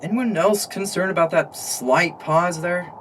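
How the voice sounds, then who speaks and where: A man playing a wisecracking, incredulous young superhero with a sarcastic, animated manner in a comic book fan dub. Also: sits high, unhurried, slight background noise.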